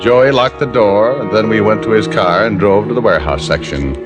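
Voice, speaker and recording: A man's voice: on the low side, brisk, music in the background, natural voice. An actor playing a wry, hard-boiled private detective who narrates his own case in a 1950s radio drama.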